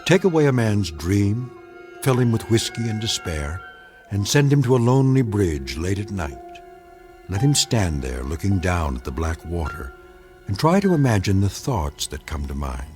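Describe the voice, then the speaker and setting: Very deep, ominous narrator. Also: male voice, a little mellow. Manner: measured, portentous. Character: grave, ominous male narrator of eerie tales. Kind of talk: scripted dramatic narration.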